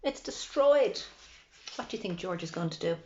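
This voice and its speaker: Woman, expressive storytime reader, very lively pitch, questions to little listeners, slightly dull lo-fi recording. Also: normal speed. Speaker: librarian reading a picture book to children. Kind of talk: animated children's storytime reading.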